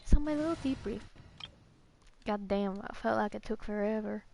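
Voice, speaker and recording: A woman's voice: medium pitch, normal speed, a little mellow, slight background noise. A woman streaming a game, chatting casually in a rambling, informal way. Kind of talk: casual live stream chat. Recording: clear music behind voice. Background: music.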